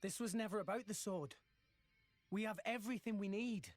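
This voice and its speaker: A man's voice: high voice, moderate pace, no echo, close to an everyday voice. A man playing an earnest, determined teenage boy adventurer in a video game. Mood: resolute. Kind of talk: video game cutscene acting.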